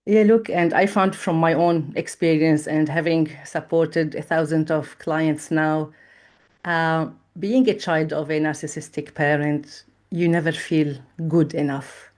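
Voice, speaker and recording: A middle-aged woman from Lebanon with a low voice speaks slowly. She weighs each thought, pausing often with small fillers as she recounts her past in a reflective interview. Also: fairly even intonation, darkish tone. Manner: measured and thoughtful, with frequent pauses and fillers. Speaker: trauma therapist guest.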